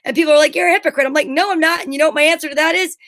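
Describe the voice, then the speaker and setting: High-voiced middle-aged woman chatting bluntly. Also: rapid-fire, a bit bright, no echo, clean studio sound. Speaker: talk show co-host. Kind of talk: casual chat.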